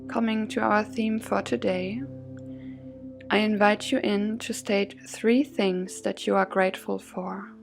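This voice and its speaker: A woman guides a yoga and meditation class at a slow, unhurried pace. Her medium-pitched voice has a creaky edge and a soothing, measured delivery. Soft music plays behind her. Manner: soothing, gently measured phrasing with breath cues.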